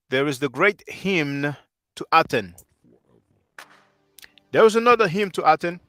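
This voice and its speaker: A man whose first language is French lectures his livestream audience through a headset mic. His voice sits a little high and rings bright and forceful, and his delivery is earnest and insistent. The sound is dry and close, with faint music underneath. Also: no room sound. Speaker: livestream host. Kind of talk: livestream commentary answering chat.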